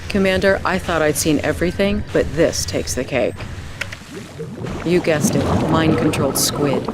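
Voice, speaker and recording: An actress playing a businesslike military intelligence officer with a wry streak in a game briefing. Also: female voice, low-pitched, steady tone, measured pace, loud music behind the voice. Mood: wry disbelief. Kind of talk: video game cutscene briefing. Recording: very noisy game cutscene audio.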